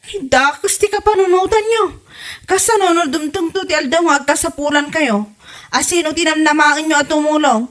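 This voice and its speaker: A kind-hearted young daughter-in-law, played by a woman in a comedy audio drama. Her voice sits high. She sounds exasperated and argues in a pleading, reproachful way. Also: normal speed, fairly bright tone. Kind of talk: comedy radio drama acting.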